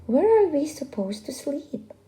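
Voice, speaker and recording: A woman's voice: high-pitched, lively intonation, a little slow, warm tone, voice pushed up. A woman voicing easygoing little frogs in a storybook, with a breezy, casual manner. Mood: complaining.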